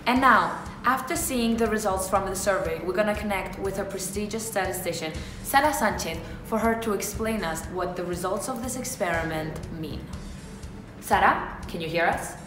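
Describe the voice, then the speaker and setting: A woman's voice: medium pitch, normal speed. A woman playing a news anchor, announcing formally in a scripted hand-off. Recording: echoey room, music behind.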